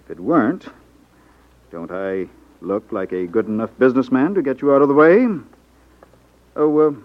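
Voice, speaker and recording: An actor playing a smug, condescending racketeer boss in a suave, dry manner in a 1950s radio drama. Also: male voice, mid-range voice, expressive, normal speed, no room sound, close to an everyday voice. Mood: smug, sardonic. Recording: tinny band-limited 1950s radio recording.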